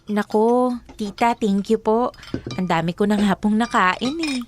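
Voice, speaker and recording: A woman's voice: medium pitch, a little slow, over music, close to an everyday voice. An actress playing a sweet, polite young Filipino girlfriend, gushing and giggly, in a radio drama. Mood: polite, grateful. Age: young adult.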